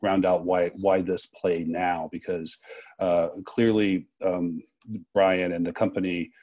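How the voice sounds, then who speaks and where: A man interviewing in a reflective, unscripted way, halting often as he searches for his words. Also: sits low, level tone, darkish tone, dull audio. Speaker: interviewer, festival co-director.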